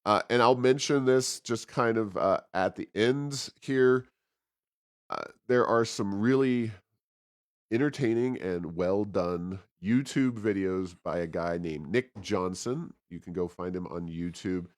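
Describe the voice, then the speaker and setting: A man with a deep voice co-hosts a podcast in a very dry-sounding recording. He moves through commentary and announcements casually, in a conversational, somewhat halting way. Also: dry recording. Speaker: podcast co-host.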